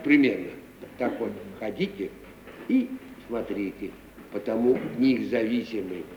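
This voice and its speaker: An actor playing a grumbling, folksy old manservant who cautions and admonishes, in 1950s Russian radio theatre style. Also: male voice, on the low side, lively intonation, unhurried. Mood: cautioning, sly. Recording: muffled, hissy 1950 radio recording, roomy, distant.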